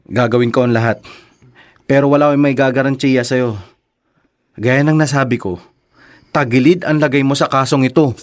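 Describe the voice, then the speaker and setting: A man with a Filipino accent playing a frank, concerned lawyer friend in a radio drama, candid and measured. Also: medium pitch, fairly even intonation, close to an everyday voice. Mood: grave, candid.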